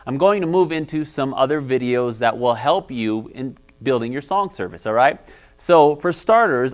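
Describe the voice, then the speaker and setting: Friendly bass-baritone man teaching music. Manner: friendly, explanatory, often checks in with "all right?". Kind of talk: instructional video lesson.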